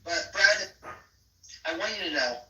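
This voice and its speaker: A man with a high, nasal, squeaky voice talks in halting bursts, full of "um"s and repeated words. His tone is bright and piercing. He sounds far off in an echoey room. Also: animated. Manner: halting, with many fillers and repeats. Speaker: participant in a live audio chat room. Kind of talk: mocking argument.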